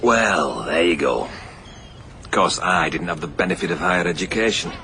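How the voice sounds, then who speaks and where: An actor playing a gruff middle-aged police superintendent with an English accent, blunt and sardonic in a radio drama. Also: male voice, low-pitched, lively intonation, normal speed, noisy background. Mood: affable, sarcastic. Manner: blunt, sarcastic, colloquial.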